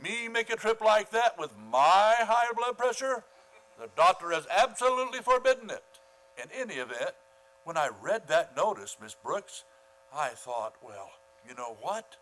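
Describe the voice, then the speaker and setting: A man playing a fussy, falsely gracious school principal with a pompous, formal, halting delivery in a radio comedy. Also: high voice, expressive, a bit bright, rough recording. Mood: self-pitying, pompous. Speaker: actor in a community radio play. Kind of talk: old-time radio comedy acting.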